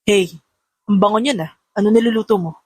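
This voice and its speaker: A woman voicing a serious, tender, flirtatious male boss in an audio romance, soft-spoken and teasing. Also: medium pitch, fairly quick, slightly rough, no echo, close to an everyday voice.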